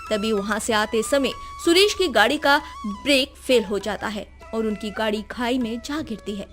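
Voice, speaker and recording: A woman storyteller reads a scripted moral tale for children. Her voice is high, and music plays behind it.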